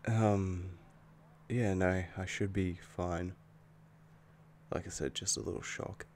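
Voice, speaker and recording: A man playing an affectionate, protective, easily angered young werewolf boyfriend in an intimate ASMR roleplay, with a hesitant, halting delivery. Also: low-pitched, steady tone, a little mellow, a little hiss or hum. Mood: wry, reassuring. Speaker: roleplay audio performer.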